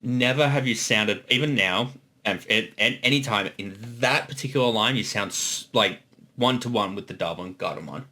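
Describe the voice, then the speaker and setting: A man's voice: lowish voice, normal speed, bright, forceful tone. A man giving casual creator commentary, talking conversationally about his own series.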